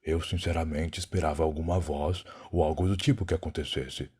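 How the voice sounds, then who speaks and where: Proud, weary time traveler played by a man, Brazilian accent, low husky voice, solemn dramatic narration for an audio drama. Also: steady tone, a little fast, a little mellow. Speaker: narrator and lead character. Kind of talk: dramatic first-person scripted narration.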